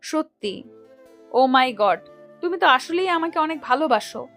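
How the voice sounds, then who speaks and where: A woman's voice: sits high, lively intonation, unhurried, a bit bright, faint music behind, heavily acted. A woman playing a coy, shy, sweet-talking young girlfriend in an audio story. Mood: coy, delighted. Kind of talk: Bengali audio story acting.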